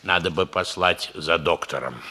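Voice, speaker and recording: An actor playing a fretful gentleman who grumbles and repeats himself, in a 1960s Soviet radio comedy. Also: sits low, normal speed, slightly rough, heavily acted.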